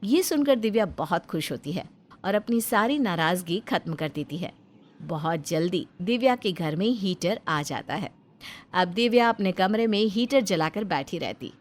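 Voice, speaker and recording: A woman's voice: lowish voice, lively intonation, moderate pace. A woman giving scripted narration of a family story as its narrator.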